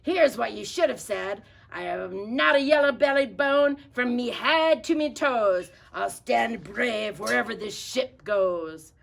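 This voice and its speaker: A woman voicing a blustery, impatient male captain in a children's storybook, declaiming and shouting in slang. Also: fairly high pitch, animated, unhurried, vocal fry, hardly any pauses, pitched above the performer's own voice. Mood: scolding. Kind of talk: children's storybook read-aloud.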